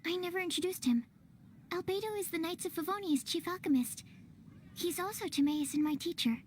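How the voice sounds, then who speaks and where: A woman's voice: very high-pitched, a little fast, slight background noise. A woman playing an eager, bashful young alchemist's assistant in a video game, polite, earnest and apologetic. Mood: earnest, admiring. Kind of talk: video game character acting.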